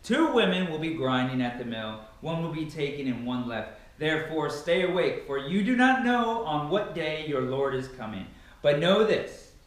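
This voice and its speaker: A man's voice: a little high, big pitch swings, normal speed. Very echoey man reading scripture dramatically. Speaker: Bible reader. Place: bare carpeted living room indoors. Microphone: far camera. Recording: very echoey empty room, distant microphone.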